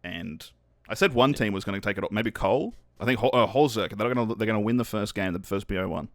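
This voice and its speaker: A man's voice: on the low side, fast talker, no room sound, studio quality. A young Australian man co-hosting a podcast, bantering with self-mocking jokes and laughs. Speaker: podcast co-host. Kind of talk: casual chat.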